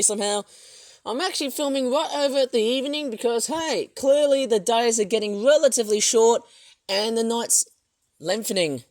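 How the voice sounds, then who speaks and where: A vlogger with a hoarse, sore throat, narrating a casual outdoor tour of a backyard garden in a rambling, excitable way, filming on a tablet. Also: medium pitch. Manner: rambling, filler-heavy, excitable.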